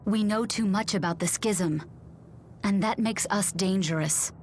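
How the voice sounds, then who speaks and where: Casting: woman as courteous elemental spirit for a game, medium-pitched, composed, gently formal. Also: slight background noise, close to an everyday voice. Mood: resigned, courteous. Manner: polite, measured, formal. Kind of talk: video game voice acting.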